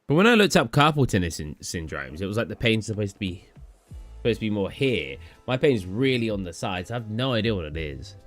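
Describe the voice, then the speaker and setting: A man's voice: fairly low pitch, very animated, fairly quick, no echo, faint music behind. A young man from England, chatting casually on a live stream and answering chat questions in a tired, rambling way. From southern England. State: tired, low energy.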